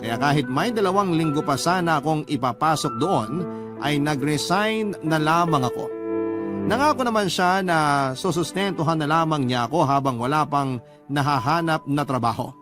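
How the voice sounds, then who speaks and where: A man's voice: on the high side, animated, normal speed, over music. A Filipino man giving a dramatic first-person narration of a radio love story.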